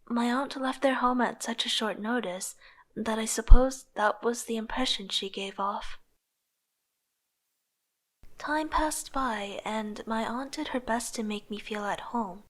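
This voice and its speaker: A young woman playing an aspiring artist, narrating her own story in a soft, introspective, wistful way. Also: fairly high pitch. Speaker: narrator and lead character. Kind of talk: reflective first-person narration with dialogue.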